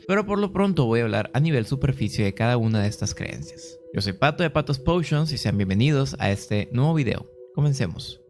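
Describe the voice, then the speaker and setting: A man's voice: medium pitch, darkish tone. A man with a Mexican accent narrating a casual explainer in an informal, conversational way, speaking directly to viewers. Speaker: video host.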